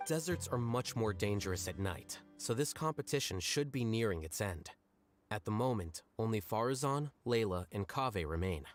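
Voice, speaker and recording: An actor playing a cool, aloof young intellectual in a video game, dry and detached. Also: male voice, on the low side, expressive, moderate pace, faint music behind, lightly acted.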